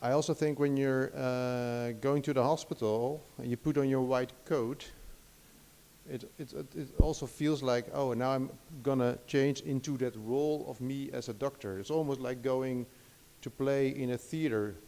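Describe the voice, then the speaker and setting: A middle-aged man with a Dutch accent gives a lecture in English. His voice is gravelly and mellow at a middle pitch, and he hesitates often, restarting sentences and filling gaps with "uh". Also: fairly even intonation, moderate pace. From Netherlands. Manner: hesitant, many restarts and fillers. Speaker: guest lecturer. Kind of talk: academic lecture.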